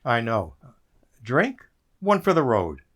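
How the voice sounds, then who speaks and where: A man's voice: medium pitch, wildly swooping pitch, slow, a bit bright, exaggerated voice. A man in a community radio play, playing a weary, wry government code breaker who reflects on his story. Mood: wry, resigned. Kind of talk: community theater radio drama, narration and dialogue. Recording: roomy, distant stage sound with noise.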